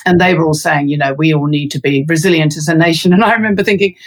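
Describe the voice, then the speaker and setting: A woman's voice: low voice, quick pace. Chatty woman with lots of ums. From London, England. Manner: thoughtful, many fillers. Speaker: interview guest, resilience researcher. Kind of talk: casual interview talk.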